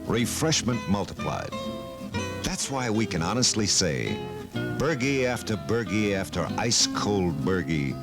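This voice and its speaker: A man's voice: on the low side, very animated, unhurried. An American man as a commercial announcer, narrating a 1960s radio beer advertisement on an old AM tape. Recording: 1968 AM radio tape, loud music behind. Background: loud plucked guitar music.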